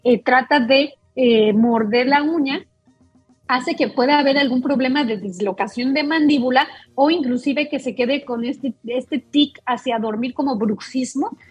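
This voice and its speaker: A woman's voice: sits high, measured pace, husky, no echo, faint music behind. A woman doctor, explaining a topic patiently as a guest on a talk show. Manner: explains patiently, with many fillers and restarts.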